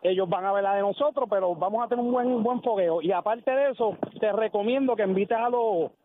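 A man's voice: sits high, a little fast, a little hiss or hum. A man calling a sports show over a phone line, chatting casually in slang. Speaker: caller.

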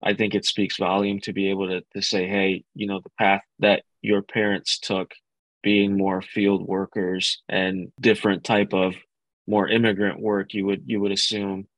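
Man guest, low flat voice, dry sound, earnest and halting. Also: no echo, rough recording. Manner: earnest, halting, with repeated restarts. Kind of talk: casual interview.

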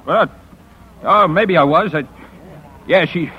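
A man's voice: on the high side, slow pace, a little hiss or hum. An actor playing a nervous, evasive man under interrogation in a 1950s radio drama, stammering and hesitant, on a dull old recording. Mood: cornered, nervous.

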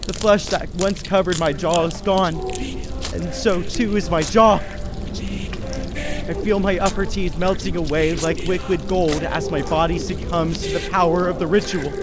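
Man acting high-pitched, slow radio horror protagonist. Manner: slow, vivid, theatrical. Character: Tanner, taunting businessman turned doomed horror narrator. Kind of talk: radio horror drama acting and first-person narration. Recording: music under the voice.

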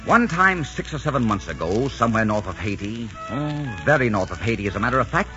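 An actor playing a boastful swashbuckling pirate captain. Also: male voice, mid-range voice, very animated, brisk, natural voice. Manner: boastful tall tales, then dreamy and tender. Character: dashing swaggering male pirate captain, boastful romantic. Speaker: pirate leader. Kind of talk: 1940s radio drama acting. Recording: muffled old radio broadcast with music.

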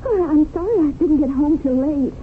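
An actress playing an earnest, idealistic young schoolteacher wife in a radio drama, gently pleading and moralizing. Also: female voice, high voice, animated, normal speed. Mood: earnest, concerned. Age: young adult. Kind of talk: 1970s radio drama acting. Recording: tinny, noisy old radio broadcast.

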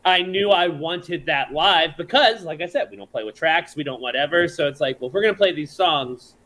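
A man's voice: a little high, brisk, pushed and bright. A man who is a songwriter and performer, chatting casually as a podcast guest, laid-back, swearing freely and laughing often.

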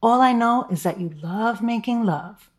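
An actress playing a seductive, possessive and manipulative lover in an audio drama, with coaxing, drawn-out phrasing. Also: female voice, fairly high pitch, lightly acted. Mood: jealous, wounded.

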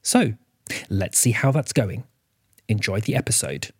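A man's voice: on the low side, lively intonation, a little slow, slightly rough. A man hosting an audio fiction podcast, reading a scripted announcement to his listeners. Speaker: podcast host introducing episodes.

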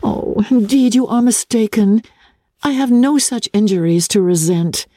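A woman voicing an earnest, sensible young gentlewoman from a classic novel, formal and pleading. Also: mid-range voice, a little slow, no room sound, clean studio sound, pitched above the performer's own voice, close to an everyday voice. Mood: earnest, urgent.

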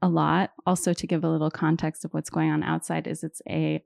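A woman's voice: sits low, little pitch movement, brisk, vocal fry, darkish tone, no echo, studio quality. A woman from Hawaii, a pianist and music psychotherapist, telling her story in a friendly, reflective way as an interview guest. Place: backstage dressing room.

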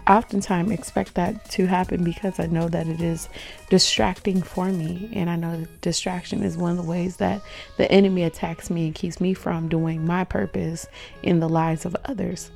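A woman hosting her own faith podcast in a casual solo talk. She is hoarse and sounds upbeat and reflective, with frequent ums. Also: lowish voice, darkish tone. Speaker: podcast host.

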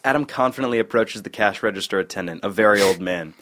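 A man's voice: on the low side, quick pace, fairly bright tone. A man reading out stage directions as a narrator at a comedy script table read.

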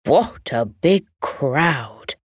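A woman acts out a kindly old grandad animal from a bedtime storybook. He speaks slowly and rather low, in a folksy, genial way. He is easygoing, and the sound is dry and close. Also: lively intonation, husky, no room sound, exaggerated voice.

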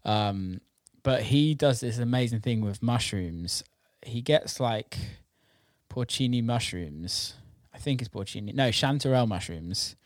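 A man's voice: medium pitch, unhurried, no room sound, studio quality. A British man chatting casually and informally, enthusiastic and given to swearing. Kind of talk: casual chat about food.

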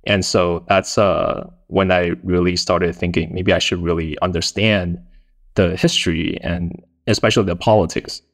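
Casting: think-tank director from Taiwan, very deep gravelly voice, easygoing chat, faint music. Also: male voice, steady tone, moderate pace. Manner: friendly, chatty, many fillers. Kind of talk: interview answers.

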